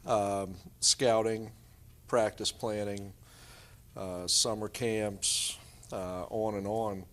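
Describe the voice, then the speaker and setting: A man's voice: on the low side, flat delivery, drawn-out words, a bit bright. A middle-aged man answering interview questions conversationally as a basketball coach.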